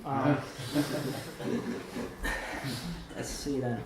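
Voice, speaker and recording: A man's voice: medium pitch, little pitch movement, very slow. A man in his twenties from Germany and Italy gives an informal live presentation in a hesitant, rambling, self-deprecating way. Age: 20s. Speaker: student presenter giving a talk. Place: indoor room at a small meetup. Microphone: distant room microphone. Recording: echoey room, distant mic, background noise.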